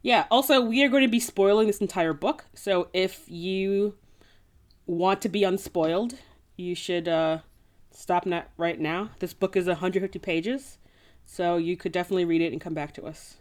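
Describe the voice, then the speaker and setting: A woman's voice: lowish voice, moderate pace. A woman co-hosting a podcast in casual, playful, slangy chat, as if recapping a book for friends. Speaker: podcast co-host.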